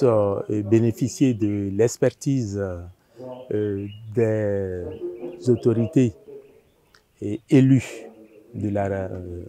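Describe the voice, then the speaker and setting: A man gives a formal, hesitant interview statement as a project official. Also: lowish voice.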